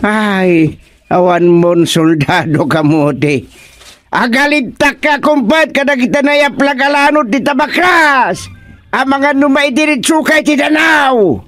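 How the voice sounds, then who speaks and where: A man's voice: very high-pitched, slow, no room sound, faint music behind, full character voice. An actor with an Ilocano accent playing a bossy, blustering king in a radio comedy, taunting and exclaiming. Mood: mocking, bossy. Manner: exclamatory, taunting, swooping between mutters and yells. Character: bossy, blustering male king scolding his cowardly soldier.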